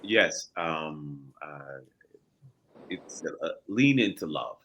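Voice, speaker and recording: A man speaking as a guest in an earnest, reflective and encouraging way, with deliberate phrasing. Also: rumbling low, animated, bright, forceful tone. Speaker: actor, show guest.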